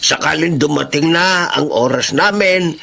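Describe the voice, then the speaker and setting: A man playing a kindly, protective elderly grandfather in a Filipino radio drama, with a creaky voice and a gentle, halting manner. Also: a little high, a little hiss or hum, heavily acted. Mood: earnest.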